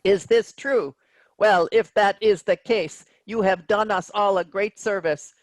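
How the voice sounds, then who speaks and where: An actor playing a stern, sardonic autopilot computer captain, speaking in a measured, formal deadpan in a sci-fi comedy reading. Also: medium pitch, dry recording, close to an everyday voice.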